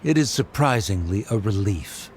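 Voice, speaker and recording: An actor playing an aloof, lofty male scholar who speaks in a measured, haughty way. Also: on the low side, expressive, darkish tone, slight background noise, lightly acted. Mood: wry. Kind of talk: game voice acting. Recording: faint background music.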